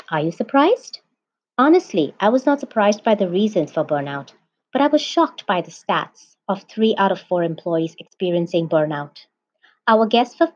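A woman hosts an interview podcast in a mid-pitched voice, moving through prepared remarks and questions. The recording is a bit dull and lo-fi. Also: darkish tone. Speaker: podcast host. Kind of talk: scripted introduction and interview questions.

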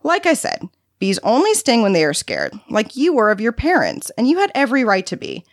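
A woman voicing a patient female carer who coaxes and soothes a volatile child, explaining things deliberately in a narrated story. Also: a little high, wildly swooping pitch, dry recording, clean studio sound, voice pushed up. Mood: gentle, insistent.

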